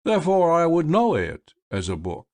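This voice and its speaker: A man's voice: on the high side, wildly swooping pitch, normal speed, darkish tone, pitched above the performer's own voice. A man voicing a sly, flamboyant blind male stage magician with grand, theatrical exclamations.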